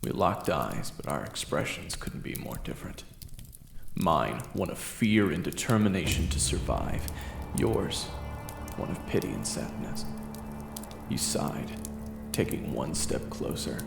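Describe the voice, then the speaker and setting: A man narrating a first-person story slowly and evenly, with music playing behind him. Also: extremely low pitch, sing-song, gravelly. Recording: echoey room with music.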